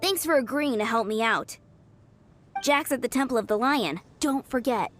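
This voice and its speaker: An eager young knight trainee in a game. Her girlish voice sits fairly high, earnest and modest in manner, with light music underneath. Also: animated, moderate pace, fairly bright tone. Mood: weary, apologetic. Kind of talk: video game character acting.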